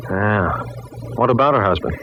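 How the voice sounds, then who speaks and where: Low-voiced American police detective, played by a man. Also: expressive, moderate pace, soft background music, natural voice. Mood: concerned, curious. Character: steady, plain-spoken male police detective, mildly exasperated. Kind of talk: 1940s radio acting. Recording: dull, noisy old radio recording.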